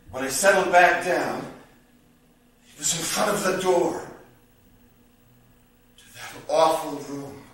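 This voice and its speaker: Guy acting a haunted theatrical storyteller doing a monologue, sardonic, bright forceful voice, really echoey and kinda far from the mic. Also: high-pitched. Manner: theatrical, deliberate, with long pauses and sudden outbursts. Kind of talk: dramatic stage monologue storytelling. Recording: roomy echo, distant mic, faint noise.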